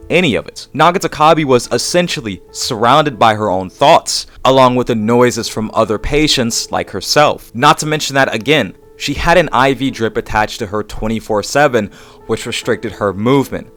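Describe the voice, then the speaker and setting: Young adult male video essayist, fairly deep voice, scripted read over a guitar music bed. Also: a bit bright. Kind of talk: scripted narration.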